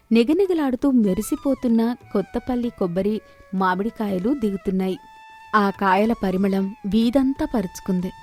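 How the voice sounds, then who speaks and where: A woman narrating a scripted moral story in an expressive, measured way. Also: mid-range voice.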